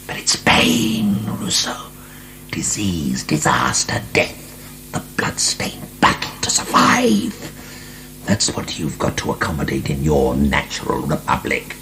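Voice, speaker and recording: An actor in a radio drama playing an imprisoned marquis, a defiant, contemptuous and morbid philosopher, theatrical and sneering. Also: male voice, a bass voice, huge pitch swings, slow pace, gravelly, sharp tone, in a whisper, full character voice. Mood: sneering, intense. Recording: hissy archived radio drama.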